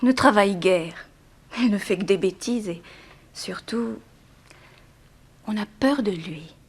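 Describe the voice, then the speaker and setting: An actress playing a composed, frank but guarded young bereaved daughter in a 1960s French radio drama, with measured theatrical diction. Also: female voice, mid-range voice, slightly rough. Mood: earnest.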